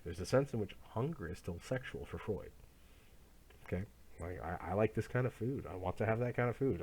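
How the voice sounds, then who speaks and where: A man with a low voice lectures to a class in an easy, conversational way. He often stumbles and restarts his sentences as he explains. He sounds like he is in his thirties. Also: breathy edge. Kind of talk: recorded college lecture.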